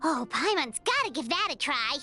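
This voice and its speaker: A bubbly little floating sprite girl in a game, her voice very high and piercingly bright. She swings wildly up and down in pitch and pries into everything. Faint game music plays beneath her. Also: sing-song, exaggerated voice. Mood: curious. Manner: bubbly, curious, quick to question. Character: tiny floating female companion sprite, chatty and nosy. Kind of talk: game voice acting.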